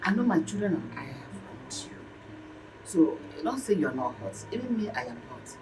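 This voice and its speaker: A woman's voice: deep voice, very animated, moderate pace. Domineering mother, swooping emphatic voice. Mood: contrite, pleading. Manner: emphatic, repetitive, declamatory. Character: domineering mother and stepmother, fierce then ingratiatingly apologetic. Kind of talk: melodramatic film acting. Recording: clear background noise.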